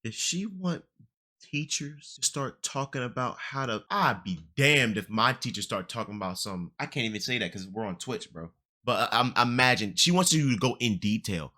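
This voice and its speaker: Young guy streamer reacting to a clip, bright punchy voice, slangy and joking around. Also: mid-range voice, lively intonation, normal speed. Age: 20s. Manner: loud, jokey, slangy banter.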